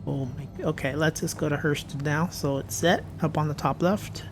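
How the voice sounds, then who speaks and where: A hoarse, raspy man giving chatty, jokey commentary as he plays a space game. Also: fairly high pitch, fairly even intonation, moderate pace, noisy background. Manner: chatty, jokey tour-guide narration. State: hoarse, clearing his throat. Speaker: game streamer. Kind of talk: casual gameplay commentary. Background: game music and engine sounds.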